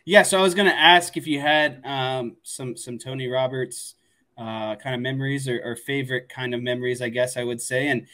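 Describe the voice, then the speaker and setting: A man's voice: mid-range voice, normal speed, bright, forceful tone. A man in his twenties with an American accent, casually discussing sports as a co-host and circling hesitantly around his points. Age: 20s.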